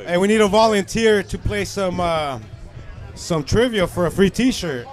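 Man, 30s, high voice, slangy casual talk, outdoors, podcast co-host. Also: unhurried, soft background music. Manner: slangy, swearing, says bro and dog. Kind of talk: casual chat. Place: outdoors by a food truck.

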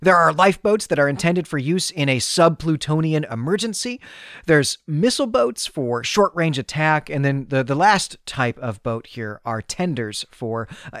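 A man's voice: a little high, a bit bright, no echo, clean studio sound. A man hosting a podcast, recapping a story in a conversational style. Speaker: podcast host.

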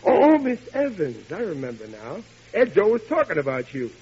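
An actor playing a bumbling, eager husband who stammers when flustered, in old-time radio sitcom style. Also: male voice, sits high, huge pitch swings, normal speed, darkish tone, exaggerated voice. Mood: nervous, bashful. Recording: muffled, hissy vintage radio broadcast.

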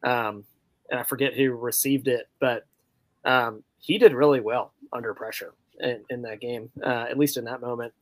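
A man with a medium-pitched voice gives his take as a podcast panelist. The sound is very dry, and he speaks in casual sports chat. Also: steady tone, normal speed, dry recording, low-quality recording.

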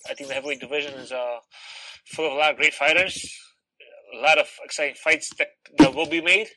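A man from Poland, a professional boxer, giving earnest, plainspoken, halting interview answers. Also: on the high side, fairly even intonation, very noisy.

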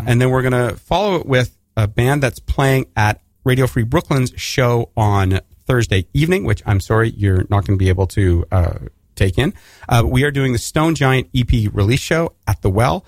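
A man's voice: mid-range voice, no echo, clean studio sound. A Canadian man hosting a casual radio interview, rambling with many restarts and fillers. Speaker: radio host.

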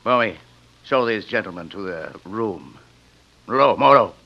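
Low, sinister courteous radio villain. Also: male voice, expressive, creaky, slight background noise. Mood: polite command. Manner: quiet, sinister, formal and unhurried. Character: sinister, courteous rich villain who hunts men. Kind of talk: 1940s-50s radio drama acting. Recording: dull old radio recording.

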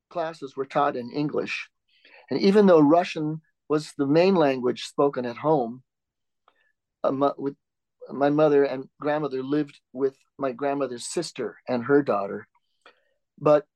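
A man talking through his answers in an interview, pausing and restarting as he finds his words. His voice sits a little high. It is recorded close up in a dry space with almost no room sound. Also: darkish tone, dry recording, rough recording. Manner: halting, with frequent restarts and filler words. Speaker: author and interview guest.

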